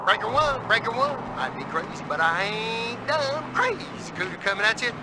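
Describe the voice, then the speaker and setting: An actor playing a boisterous, jaunty country mechanic with a Southern American accent, hollering CB radio calls. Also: male voice, very high voice, big pitch swings, moderate pace. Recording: very noisy vehicle and outdoor ambience.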